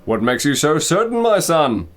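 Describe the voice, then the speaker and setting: A man's voice: on the high side, big pitch swings, normal speed, a bit bright, pitched above the performer's own voice. A man acts out an elderly aristocratic tomcat from a storybook, grave and reserved. He speaks with a British accent and a formal, theatrical dignity. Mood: questioning. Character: dignified, reserved old male cat, aristocratic father. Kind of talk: storybook reading with character voices.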